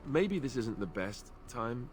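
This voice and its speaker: A man's voice: medium pitch, big pitch swings, slow, faint music behind. An actor playing an awkward, self-deprecating young male knight in a game, talking in a hesitant, rambling way. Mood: earnest. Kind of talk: game character dialogue.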